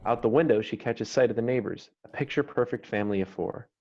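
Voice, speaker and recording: A man's voice: medium pitch, fast talker, darkish tone. A man narrating stage directions from a screenplay in a scripted read. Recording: dull, noisy online recording with clicks.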